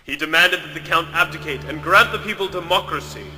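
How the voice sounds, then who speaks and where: A man's voice: on the high side, a little fast, bright, forceful tone. A man narrating a melodramatic story as scripted, in a theatrical, emphatic way. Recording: long reverb, music behind.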